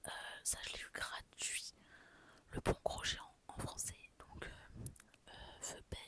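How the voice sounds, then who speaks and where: A young woman whispering softly into her phone, chatting through a haul of books and souvenirs with a gushing, filler-heavy manner. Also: measured pace. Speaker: vlogger.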